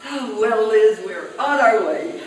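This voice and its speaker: A woman playing an excitable, droll housewife and eager sidekick in an old-time radio comedy. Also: a little high, slow pace. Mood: breezy. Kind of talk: old-time radio comedy acting before a live audience. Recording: very echoey hall, distant mic, faint noise.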